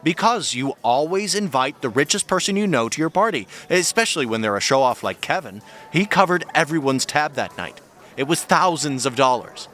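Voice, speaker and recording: Animated, skeptical guy friend. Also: a little high, moderate pace. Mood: matter-of-fact. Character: skeptical, candid male friend of the detective. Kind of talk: naturalistic audio drama acting.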